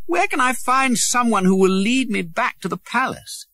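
A man's voice: expressive, moderate pace, pushed and bright, no room sound, exaggerated voice. A man voicing a proud, desperate boy prince in a read-aloud storybook. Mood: pleading. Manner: high, imperious pleading.

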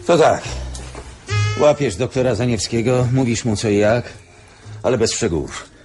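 An actor playing a brusque, impatient police commissioner in a radio play, clipped and commanding. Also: male voice, medium pitch, animated, moderate pace, darkish tone, soft background music, lightly acted. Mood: brisk, commanding. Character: brusque, impatient male police commissioner running a murder case.

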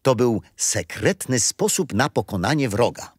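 Grandfather, patient and kindly storyteller for a children's history play, animated intonation. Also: mid-range voice, a little slow, breathy edge, no room sound, studio quality. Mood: kindly, explaining. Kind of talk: children's radio play acting.

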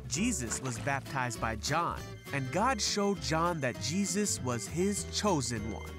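A man reading scripted narration for an animated Bible story for children. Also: medium pitch, takes it slowly. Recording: music bed under the voice. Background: background music.

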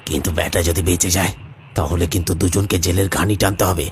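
An actor playing a menacing, rough-spoken village henchman with a knife in an animated horror story. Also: sits low, fast talker, natural voice. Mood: callous. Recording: noisy, with ambient sound behind.

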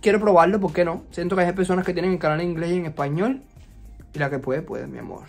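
A man's voice: high voice, quick pace, vocal fry, soft background music. A young man with a Cuban Spanish accent, chatting expressively and sassily in a casual storytime vlog. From Cuba. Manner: chatty and expressive, frequent fillers, playful sass. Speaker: YouTuber. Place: resort vacation rental.

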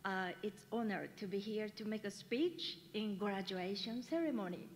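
A woman's voice: mid-range voice, slight background noise. A middle-aged woman from Japan with a Japanese accent, giving a careful, hesitant prepared speech at a podium. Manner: careful, measured delivery with hesitations. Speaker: graduating student. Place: large school hall. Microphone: podium mic. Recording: long hall reverb.

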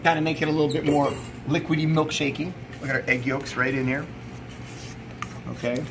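A middle-aged man presents a recipe in a chatty, informal way, stressing his points as he goes. His voice sits fairly high for a man. He is recorded in an indoor kitchen with clear background noise. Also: level tone, fairly quick. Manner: chatty, emphatic, informal, often checking in with "okay?". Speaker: chef presenting a recipe video. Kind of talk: casual cooking demonstration. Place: indoor commercial kitchen or sandwich shop.

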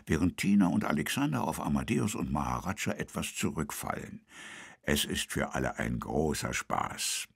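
A man's voice: rumbling low, breathy edge, no room sound, studio quality, full character voice. A man narrating a children's audio drama as a storyteller, reading from a script.

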